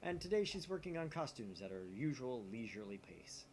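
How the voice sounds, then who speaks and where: A man reading a visual novel aloud in theatrical, expressive narration. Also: medium pitch, a little fast, a little hiss or hum. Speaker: gaming channel host.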